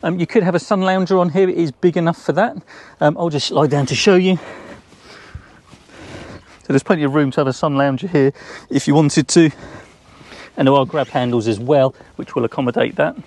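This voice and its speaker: A man in his forties with an English accent, casually walking through a boat for sale, chatty and relaxed, with frequent ums, recorded outdoors in a marina. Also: a little high, brisk, pauses often. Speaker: boat sales presenter. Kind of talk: casual walkthrough tour.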